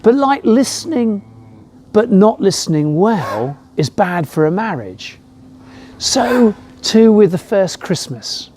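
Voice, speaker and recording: A man giving a reflective sermon as a rector, speaking in a measured way with rhetorical questions. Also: sits high, very animated, darkish tone, a little hiss or hum. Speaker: rector preaching a Christmas message.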